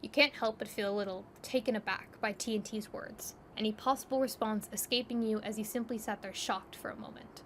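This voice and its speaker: Bright-voiced woman narrating a story. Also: medium pitch, steady tone, brisk, few pauses, slight background noise. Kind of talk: scripted second-person story narration.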